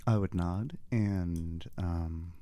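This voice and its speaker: A man who plays a tabletop game, casually describing his actions as he thinks aloud in a halting way. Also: low voice, mellow.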